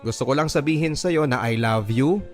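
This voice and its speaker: A man's voice: medium pitch, moderate pace. A Filipino man reading listener letters as a radio host, in an earnest, sentimental, dramatic storytelling style. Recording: music behind the voice. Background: background music.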